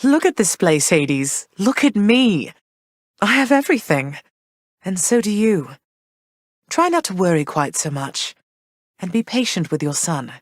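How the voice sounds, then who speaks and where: An actress voicing a gentle, motherly goddess queen of the underworld, speaking warmly and graciously in game voice lines. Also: mid-range voice, sing-song, a little slow, long pauses, no room sound. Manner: warm, gracious, softly reassuring. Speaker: voice actor. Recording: dry studio voice recording.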